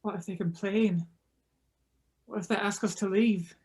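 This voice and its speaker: A woman's voice: medium pitch, steady tone, normal speed, a little mellow, slightly rough. A woman playing an anxious, rule-following pregnant young woman in a scripted drama table read. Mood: worried.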